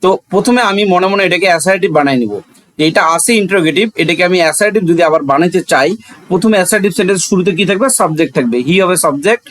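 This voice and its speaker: A man's voice: high voice, racing, fairly bright tone. A man with a Bangladeshi accent teaching in an explanatory, didactic way, asking rhetorical questions and repeating key phrases.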